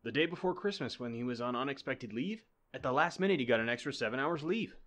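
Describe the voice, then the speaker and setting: An actor playing a detective's eager, puzzled assistant in old-time radio drama style. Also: male voice, medium pitch, animated, quick pace, a bit bright, close to an everyday voice. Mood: recounting.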